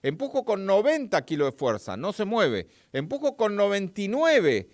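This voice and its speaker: A man's voice: high-pitched, wildly swooping pitch, moderate pace, no room sound, clean studio sound. A man with an Argentine accent giving a chatty, live-streamed physics lesson, talking straight to his viewers. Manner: chatty, talks straight to viewers and reads their chat. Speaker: physics teacher.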